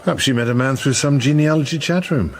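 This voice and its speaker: An actor playing a mild, hesitant young scientist in understated radio drama dialogue. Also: male voice, medium pitch, fast talker, lightly acted. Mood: mild, distracted.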